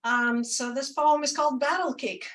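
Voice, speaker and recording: A woman from Ukraine reading her poems slowly and deliberately, with quicker, chattier asides between them. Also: high-pitched, fairly bright tone, distant mic. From Ukraine, former Soviet Union. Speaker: poet and translator. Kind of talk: poetry reading with spoken asides.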